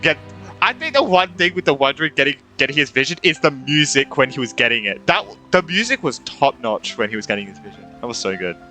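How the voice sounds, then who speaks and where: A man's voice: mid-range voice, sing-song, fast, pushed and bright. A man doing casual live commentary as a gaming streamer, rambling and repeating himself as he reacts. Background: game music.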